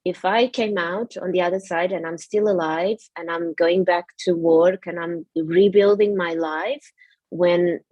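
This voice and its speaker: A woman's voice: fairly low pitch, fairly even intonation, measured pace, no room sound, dull audio. Portuguese-accented woman, dry close sound. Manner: earnest and reflective, with many fillers and restarts. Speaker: yoga studio owner, guest. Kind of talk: interview.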